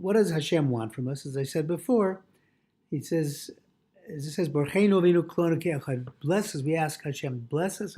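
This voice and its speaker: Husky male rabbi teaching Torah, higher-pitched. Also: warm tone. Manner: halting, repeats phrases as he explains. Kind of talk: religious lesson, reading and translating a text aloud.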